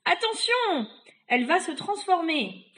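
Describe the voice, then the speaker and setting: A woman's voice: very high voice, big pitch swings, a little slow, a bit bright, voice pushed up, heavily acted, poor audio. A woman voicing a defiant young boy hero who taunts and exclaims in a storybook tale. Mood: feigned surprise. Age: young adult.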